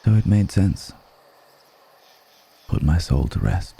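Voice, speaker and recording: A man playing an ancient, refined, wistful male vampire in an intimate ASMR roleplay, speaking slowly and formally with measured pauses. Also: sits low, big pitch swings, gravelly, dark tone, breathy edge, full character voice. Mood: reflective, grave. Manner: slow, measured, pausing between phrases, formal wording. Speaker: voice actor in an audio roleplay.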